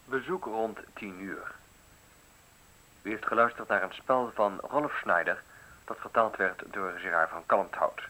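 Male announcer for scripted play credits, lowish bright voice, slow deliberate delivery. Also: poor audio. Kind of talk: scripted, measured closing announcement.

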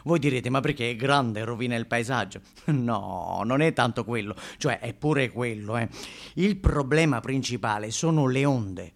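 A man's voice: medium pitch, moderate pace, creaky, lightly acted. Chatty bar-owner character played by a man. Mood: insistent. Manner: chatty, addresses listeners, rhetorical questions and colloquial asides. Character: folksy, chatty male bar owner bringing coffee to protesters. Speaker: stage actor performing a monologue. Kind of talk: theatrical monologue in conversational storytelling.

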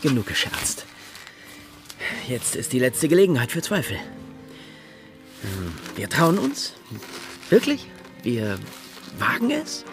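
An actor playing a charming, teasing rogue in a pirate-era radio drama, with a theatrical, halting delivery. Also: male voice, medium pitch, huge pitch swings, music in the background. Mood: impressed, teasing. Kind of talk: German radio drama acting.

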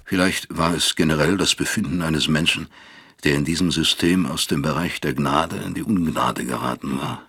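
An extremely deep, creaky and husky male narrator in a radio play. He broods like a remorseful father, reflective, literary and bitter. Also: moderate pace, a bit bright, full character voice. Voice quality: gravelly, husky. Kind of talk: radio drama first-person narration.

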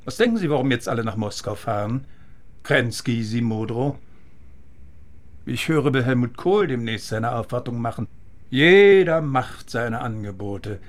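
Older East German general played by a male actor, condescending military manner, deeper-than-nothing-special midrange voice, radio drama. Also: expressive, close to an everyday voice. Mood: grave, measured. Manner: mocking, repetitive, deliberate military speech.

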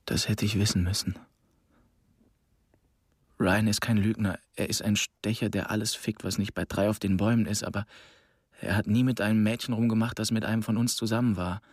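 Husky, brooding young man. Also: lowish voice, fairly even intonation, brisk, no echo, studio quality. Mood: brooding doubt. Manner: brooding, self-questioning. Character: brooding, heartbroken young man tormented by doubt. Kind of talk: intimate inner monologue, radio drama.

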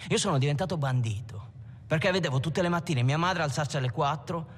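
An actor playing a Milanese bandit who looks back on his criminal past in a deliberate, confessional film monologue. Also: fairly high pitch, big-room sound, a little hiss or hum, close to an everyday voice. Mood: reflective, defiant. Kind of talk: dramatic monologue in a docudrama film.

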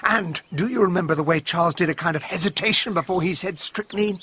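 An actor playing an earnest English gentleman, a detective's loyal sidekick, plain-spoken and husky, in a radio drama. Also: sits high, fast talker, exaggerated voice. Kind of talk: radio drama acting and narration.

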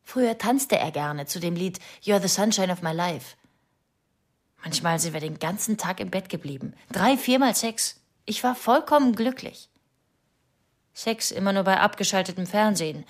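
A woman's voice: mid-range voice, animated, moderate pace, lightly acted. An actress playing a bitter, suspicious wife confiding about her husband in a plain, matter-of-fact radio drama confession. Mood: wistful, resentful.

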